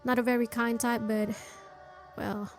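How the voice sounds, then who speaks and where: Woman, gaming streamer, fairly high voice, casual playthrough commentary, sympathetic, addresses the characters. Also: level tone, unhurried, darkish tone, a little hiss or hum. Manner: talks to the game characters, sympathetic.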